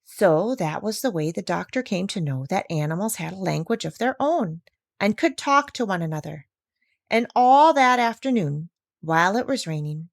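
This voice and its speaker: Female storyteller for a children's read-aloud: expressive, mid-pitched, lively intonation, dry recording. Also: vocal fry, no echo.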